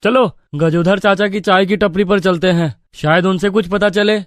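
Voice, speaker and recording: Guy doing a young village fella, plain-spoken, excitable, highish voice, storybook acting, dry sound. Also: moderate pace, no room sound, natural voice. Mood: surprised, curious. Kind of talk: animated moral story dialogue.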